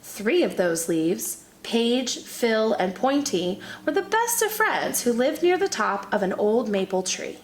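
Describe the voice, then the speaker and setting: A woman teacher reading a children's picture book aloud in an expressive storytelling manner. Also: on the high side, unhurried, hardly any pauses. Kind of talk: children's read-aloud narration. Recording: echoey room.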